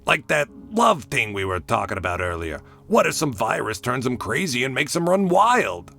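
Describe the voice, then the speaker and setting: A man voicing a gruff, skeptical government agent in a comic dub, blunt and slangy. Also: medium pitch, very animated, normal speed, pushed and bright, heavily acted.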